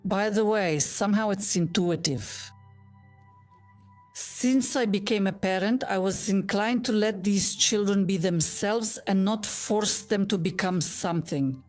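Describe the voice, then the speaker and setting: A woman's voice: medium pitch, a little slow, a little mellow, faint music behind. A woman in her fifties from Bulgaria, a guest telling her life story in an earnest, heartfelt, reflective way. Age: 50s. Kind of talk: personal storytelling in an interview.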